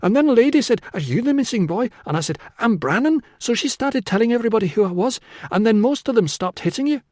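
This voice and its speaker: A man's voice: extremely high pitch, expressive, fast talker, a little mellow, dry recording, clean studio sound, pitched above the performer's own voice. A man voicing a plucky, proud little boy who talks eagerly and matter-of-factly in an audiobook. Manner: eager, matter-of-fact, self-important.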